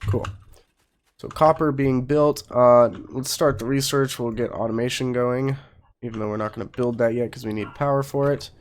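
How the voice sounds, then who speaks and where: A man's voice: medium pitch, steady tone, normal speed. A man getting over a cold, giving casual let's play commentary as host of a gaming channel, explaining things in an informal, rambling way.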